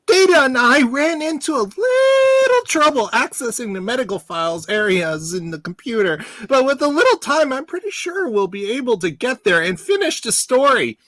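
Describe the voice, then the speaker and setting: A performer playing an eager, flirtatious starship engineer in a fan radio play, casual, teasing and excitable. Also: sits high, moderate pace, hardly any pauses, no room sound. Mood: excitable, joking.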